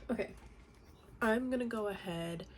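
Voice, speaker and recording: A woman's voice: on the high side, slow, slight background noise. A young woman with a congested, nasal voice from a cold, chatting casually in a makeup tutorial at home. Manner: chatty, relaxed, many fillers. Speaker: beauty vlogger. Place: home, indoors.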